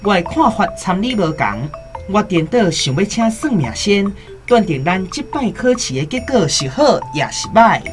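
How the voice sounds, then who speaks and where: A woman plays an eager young scholar in a radio play, impatient and insistent. The delivery swings widely in pitch, in a Taiwanese Hokkien accent, over faint music. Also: very animated, normal speed, close to an everyday voice. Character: eager, impatient young male scholar awaiting exam results. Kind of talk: radio drama acting.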